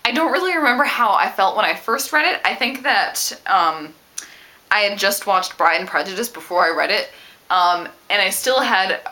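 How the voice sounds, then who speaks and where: A woman talking casually about a book as a vlogger, with an animated, emphatic and opinionated way of speaking. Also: mid-range voice, moderate pace, poor audio. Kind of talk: casual book discussion to camera.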